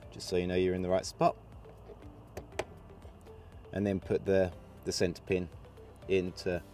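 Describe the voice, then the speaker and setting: A man with a gravelly voice from a cold, casually talking through a hands-on installation while he works. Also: deep voice. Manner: relaxed, chatty, talks while working with hands. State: hoarse from a cold. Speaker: product installer demonstrating. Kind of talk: casual how-to demonstration.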